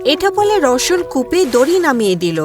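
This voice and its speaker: A woman's voice: sits high, very animated, moderate pace, over music. A woman narrating a scripted children's fairy tale.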